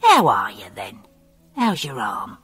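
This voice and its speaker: A woman's voice: extremely low pitch, huge pitch swings, slow pace, a bit bright, breathy edge, pitched below the performer's own voice, heavily acted. A woman voicing a cheerful, patient male hospital orderly, chatty and good-humoured in an audiobook. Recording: long reverb with faint background music.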